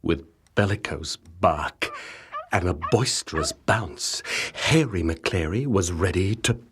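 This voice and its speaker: A man narrating a children's picture book in rhyme, with dramatic, measured, expressive storytelling. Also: sits low, noisy background. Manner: dramatic, measured storytelling with rhythmic rhyming delivery. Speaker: audiobook narrator. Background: music.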